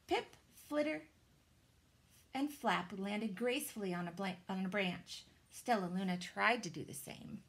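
A woman, reading a children's story aloud in a dramatic, deliberate way. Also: medium pitch, gravelly. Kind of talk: expressive children's storybook narration. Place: small indoor room with shelves.

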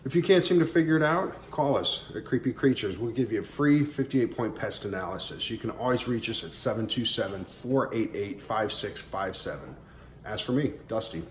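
A man's voice: lowish voice, lively intonation, moderate pace, reverberant. Friendly plainspoken guy in his 40s talking pest tips into his phone in a kitchen, bit of background hiss. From Florida. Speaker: pest control business owner. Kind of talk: casual how-to tips to camera. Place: home kitchen, indoors. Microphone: camera or phone at arm's length.

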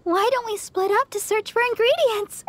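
A woman's voice: very high voice, moderate pace, a bit bright. A woman voicing a sweet, eager, cheerful young church sister in an anime game, light and girlish, polite and chatty. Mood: cheerful, helpful.

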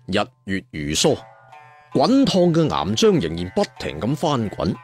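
A man with a Hong Kong Cantonese accent narrating a story dramatically and colloquially, chatting to listeners with rhetorical asides. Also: mid-range voice. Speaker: audiobook storyteller. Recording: music bed behind the voice.

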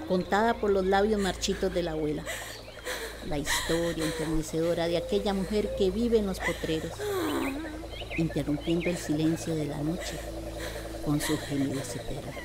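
Low-voiced Costa Rican woman narrating a legend. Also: normal speed. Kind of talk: scripted legend narration, radio play. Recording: clear background noise, nature ambience.

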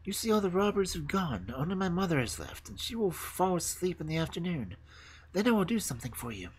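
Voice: medium pitch, sing-song, a little fast, slightly rough, pitched above the performer's own voice. A storyteller voicing a fierce, playful little robber girl who commands and threatens in a fairy tale. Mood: commanding.

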